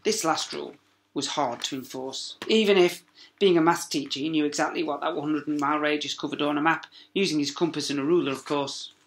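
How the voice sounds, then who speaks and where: Bright-voiced man reading a children's story. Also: fairly high pitch. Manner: dramatic, with emphatic pauses and sound words. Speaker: primary school teacher reading to pupils.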